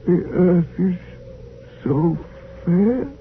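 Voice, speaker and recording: An actor playing a frail, lonely old scientist who is dying, speaking haltingly and remorsefully in a vintage radio drama. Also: male voice, sits high, expressive, full character voice. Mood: dying, weak. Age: older. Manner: halting, faltering, repeating words. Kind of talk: 1950s radio drama acting. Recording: tinny, hissy old radio broadcast.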